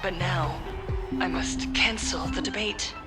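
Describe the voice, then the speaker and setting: An actress playing a composed female politician in a video game, addressing the public in a formal, measured, oratorical style. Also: fairly low pitch, over loud music. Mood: solemn, persuasive. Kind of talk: formal televised speech, video game acting.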